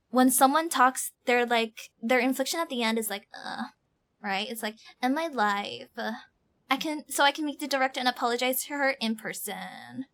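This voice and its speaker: A woman streaming a game, giving casual live reaction commentary in an animated, exaggerating manner. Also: high voice. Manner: animated, mimics lines with exaggeration.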